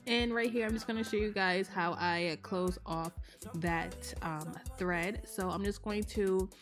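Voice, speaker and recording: A young woman, a little hoarse from a cold, chatting casually through a step-by-step hair tutorial voice-over. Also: mid-range voice, unhurried. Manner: chatty, relaxed, explains step by step with "like" and "um". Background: faint background music.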